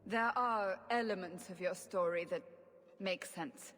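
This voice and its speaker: A woman's voice: medium pitch, unhurried, a bit bright, lightly acted. An actress playing a skeptical female interrogator. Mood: probing. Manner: skeptical, probing. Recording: game audio with long reverb.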